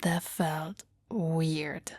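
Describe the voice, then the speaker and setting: Grumpy giantess , played by a woman, dismissive and grudging toward tiny humans, mellow tone, dry close ASMR roleplay sound. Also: sits low, level tone, very slow, no echo, exaggerated voice.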